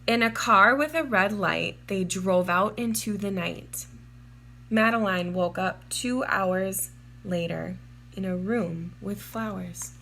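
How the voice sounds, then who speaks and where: A woman reading a children's storybook aloud in a measured, deliberate and expressive way, drawing out words. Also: mid-range voice, creaky, a little hiss or hum. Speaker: children's storybook reader, teacher. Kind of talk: read-aloud storybook narration for young children.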